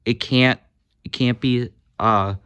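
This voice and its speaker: A man's voice: medium pitch, steady tone, slow pace, a bit bright, smooth, no echo, lo-fi sound. A man casually demonstrating walkie-talkies, hesitant, with many fillers and corrections of himself. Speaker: gadget reviewer.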